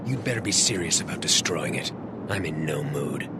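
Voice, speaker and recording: A man's voice: very deep voice, lively intonation, moderate pace, heavy background noise. A man playing a cynical, world-weary action antihero in a video game, terse and grim. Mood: wary, sullen. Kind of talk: video game narration and dialogue.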